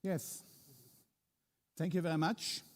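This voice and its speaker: A man's voice: a little high, level tone, slow. A man with a German accent, a treasurer making brief formal remarks with light, jokey asides. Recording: long reverb of a large hall.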